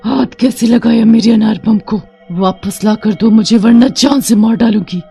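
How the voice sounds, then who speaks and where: A woman's voice: fairly high pitch, moderate pace, creaky, warm tone, slightly rough, soft background music, pitched above the performer's own voice, heavily acted. A woman voicing a furious, power-hungry witch in a cartoon horror story, threatening and boastful. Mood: furious threat.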